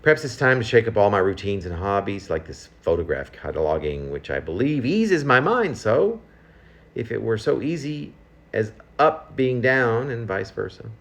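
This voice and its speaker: A man's voice: fairly low pitch, big pitch swings, normal speed, a bit bright. A man reading his own confessional poem aloud in a conversational, reflective and self-questioning manner. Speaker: poet reading own work.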